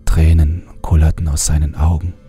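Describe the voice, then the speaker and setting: Man, very low pitch, dark mellow tone, horror audiobook narration, calm and measured, faint music behind. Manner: calm, measured first-person reading. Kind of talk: scripted horror story narration.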